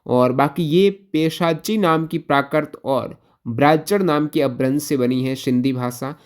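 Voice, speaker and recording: A man's voice: on the high side, measured pace, clear, resonant tone. A man teaching an exam-prep lesson question by question, posing questions and repeating key answers in an instructional way.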